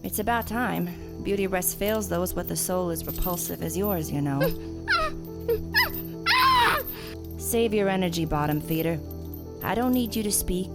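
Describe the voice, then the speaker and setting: A woman's voice: on the low side, close to an everyday voice. A woman playing a self-righteous, menacing killer gardener in an audio drama, theatrical and condescending as she taunts her captive. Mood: cold condescension. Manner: theatrical, condescending, swinging from soft to shouting. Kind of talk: audio drama villain monologue.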